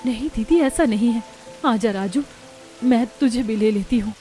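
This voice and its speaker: A woman voicing a gentle, self-sacrificing daughter-in-law from a poor village in a cartoon. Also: fairly high pitch, normal speed, soft background music, lightly acted. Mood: conciliatory.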